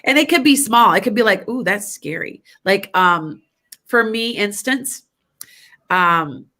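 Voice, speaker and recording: A woman's voice: medium pitch, expressive, unhurried, sharp tone. A woman in her fifties or older, hosting a psychic talk show, chatty and rambling, with a stuffy nose. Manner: chatty, rambling, laughs often. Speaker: psychic radio show host. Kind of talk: casual spiritual talk.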